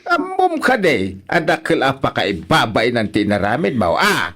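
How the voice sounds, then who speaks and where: A man's voice: mid-range voice, wildly swooping pitch, normal speed, a bit bright, heavily acted. A man with an Ilocano accent playing a stern, indignant elderly father who scolds and repeats himself in a radio drama. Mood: angry scolding. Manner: scolding, emphatic, repeats words.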